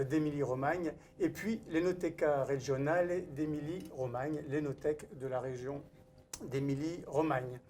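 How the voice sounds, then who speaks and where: A man's voice: fairly high pitch, a little mellow. A man hosting a live-streamed cooking event, welcoming viewers and introducing the guest chef in a formal emcee manner. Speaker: event host and wine author. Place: cooking school studio kitchen.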